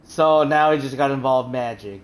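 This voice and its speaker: A woman's voice: extremely low pitch, normal speed, slight background noise, poor audio. A woman streaming a game, chatting casually, laughing often and swearing freely. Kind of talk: casual live game commentary. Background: game music.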